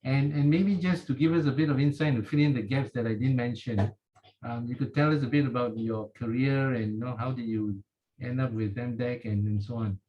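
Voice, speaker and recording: A man's voice: mid-range voice, steady tone, brisk. Effusive male host, muffled sound. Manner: effusive, interjects "wow". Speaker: podcast host. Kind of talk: interview introduction. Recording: slightly dull, lo-fi sound.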